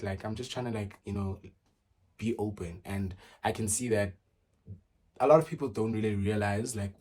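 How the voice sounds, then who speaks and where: A young man talks casually through viewer questions on his vlog. His voice sits on the low side, and his talk is animated and chatty, full of fillers and restarts. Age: early 20s. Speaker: vlogger. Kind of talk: casual get-to-know-me Q and A.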